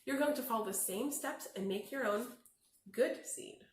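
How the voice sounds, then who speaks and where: A woman's voice: on the high side, moderate pace. A woman teacher talking to young viewers in a children's lesson, explaining things simply, step by step. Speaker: teacher hosting children's read-alouds. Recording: echoey room, faint background noise.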